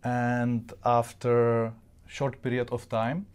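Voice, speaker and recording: Male interview host, non-native English, halting delivery, narrow and monotone pitch movement. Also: clean tone. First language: not English. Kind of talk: interview with greetings to an audience.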